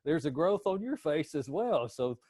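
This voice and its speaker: A man's voice: on the high side, big pitch swings, normal speed, warm tone, breathy edge, no echo, studio quality. A man hosting a casual interview, folksy and chatty, with a joking manner. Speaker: interview host.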